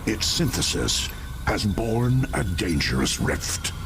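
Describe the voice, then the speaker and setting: A man performing an ominous narrator of dark fantasy in a dramatic style. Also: on the low side, sing-song, takes it slowly, background noise, exaggerated voice.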